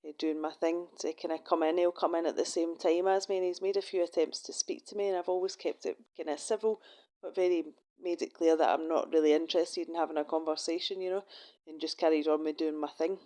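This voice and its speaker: A Scottish woman, middle-aged, talks with a slightly low voice in a casual monologue. She swears freely and drops wry asides. Her sentences often stall and restart as she goes. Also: fairly even intonation, brisk, mellow. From Scotland. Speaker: vlogger. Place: her room in a shared house.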